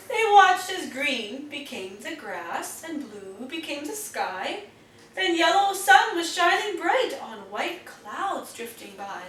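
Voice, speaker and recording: High-pitched woman reading aloud, roomy and distant. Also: a bit bright. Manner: deliberate, addressing young children. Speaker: storyteller reading aloud to children. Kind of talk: expressive picture-book read-aloud. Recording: roomy, distant mic, faint noise.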